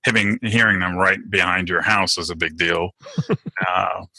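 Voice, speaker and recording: A man's voice: low-pitched, steady tone, normal speed, bright, forceful tone, dry recording. A middle-aged man from Turkey, answering interview questions in a casual, hesitant way with many fillers and restarts. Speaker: podcast guest.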